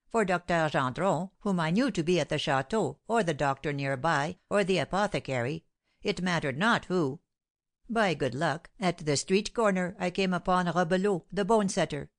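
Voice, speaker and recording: A woman's voice: fairly low pitch, lightly acted. A woman reading aloud voices a sly, boastful male servant who drags out his story. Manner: dramatic, drawn-out storytelling.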